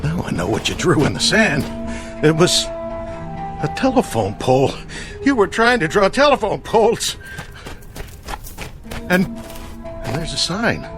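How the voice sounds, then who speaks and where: An actor playing a cold, desperate stranded astronaut turned killer in a radio drama. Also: male voice, a little high, big pitch swings, slow pace, long pauses. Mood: anguished, remorseful. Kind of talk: dramatic radio play acting. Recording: radio drama with background music. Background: music.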